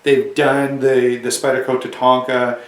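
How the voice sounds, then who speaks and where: A man's voice: mid-range voice, level tone, unhurried, recorded from a distance. Chatty man with a cold, echoey room. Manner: chatty, rambling with restarts and asides. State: has a cold, slightly hoarse. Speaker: knife reviewer. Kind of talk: casual product review to camera.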